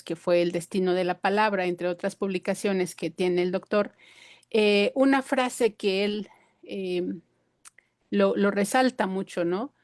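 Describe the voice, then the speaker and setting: A woman whose first language is Purépecha, giving a conference talk and pausing often with fillers and restarts. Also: mid-range voice, dry recording, clean studio sound. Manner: hesitant, many fillers and restarts. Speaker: invited speaker.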